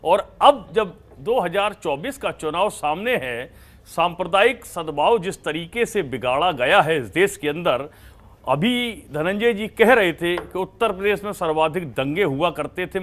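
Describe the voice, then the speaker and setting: Male politician for news panel, high register, emphatic formal argument sprinkled with English. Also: a bit bright. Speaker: opposition party politician, debate guest.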